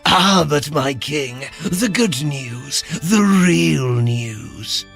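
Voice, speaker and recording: A man playing a servile, sly royal advisor with a halting, insinuating manner in a dark fantasy audio drama. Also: on the high side, animated, vocal fry, exaggerated voice. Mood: sly, coaxing. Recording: music behind.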